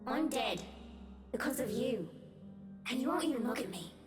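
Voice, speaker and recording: A woman's voice: a little high, expressive, moderate pace, close to an everyday voice. Woman acting an echoing, vengeful ghost girl. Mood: accusing. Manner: reproachful, menacing. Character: accusing, vengeful ghost of a dead schoolgirl. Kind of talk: horror game voice acting. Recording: echoing reverb. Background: loud game music.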